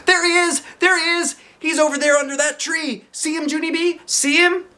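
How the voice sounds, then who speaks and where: A man voicing an eager, excitable little schoolgirl in a storybook, with a breathless, eager delivery. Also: mid-range voice, slow pace, full character voice. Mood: excited.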